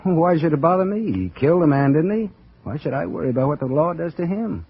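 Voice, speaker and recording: A man's voice: on the high side, lively intonation, fast, slightly rough. An actor playing an anxious, guilty American businessman in an old radio suspense drama, speaking in a tense, clipped, confessional way. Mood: nervous, defensive. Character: guilty, anxious businessman narrating his own crime, cornered husband. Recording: muffled vintage radio recording with music.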